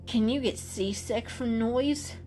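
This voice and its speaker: A woman's voice: a little high, slow pace, dark tone, soft background music, pitched above the performer's own voice. Woman voicing a grumbly, questioning male cat. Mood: grumbling.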